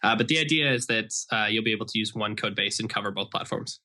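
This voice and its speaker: A man's voice: lowish voice, quick pace, sharp tone. An American man explaining things casually as a guest in a conversational podcast interview, often saying "like". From Idaho, United States. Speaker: interview guest, software developer.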